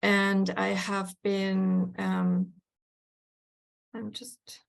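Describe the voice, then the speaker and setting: Creaky-voiced woman lecturing online. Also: medium pitch, level tone, extremely slow. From Germany. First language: German. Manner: hesitant, many fillers and restarts. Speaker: guest lecturer. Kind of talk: online workshop presentation. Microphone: video call.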